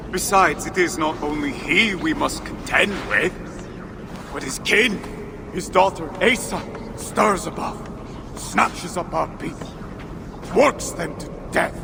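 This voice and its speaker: An actor playing a boisterous, grandiose drunken dwarf poet in a video game, theatrical and declamatory. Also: male voice, high voice, lively intonation, slow, pauses often, heavily acted. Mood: excited, grandiose. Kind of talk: video game cutscene acting. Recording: long hall reverb, clear background noise.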